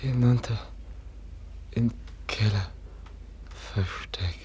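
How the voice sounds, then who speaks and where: An actor playing a gravely wounded, simple youth in a radio drama, weakly forcing out broken words. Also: male voice, fairly low pitch, fairly even intonation, a little mellow, slight background noise. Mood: weak, halting. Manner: halting, broken single words. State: weak, injured. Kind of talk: German radio drama acting.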